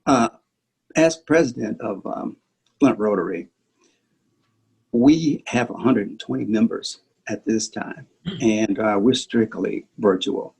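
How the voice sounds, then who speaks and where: A man speaking as a club president, giving earnest, soft-spoken remarks over a video call. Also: lowish voice, slow pace, gravelly, long pauses, breathy edge, poor audio.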